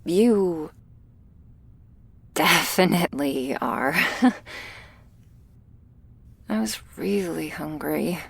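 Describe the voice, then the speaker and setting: A woman performs a shy young female vampire in an ASMR roleplay, anxious and apologetic. Her voice is on the low side and crackles with fry. She talks very slowly and hesitantly, softly and intimately, as if to one listener. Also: heavily acted. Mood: awkward, defensive. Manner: hesitant, halting, soft-spoken with whispery moments and nervous little laughs.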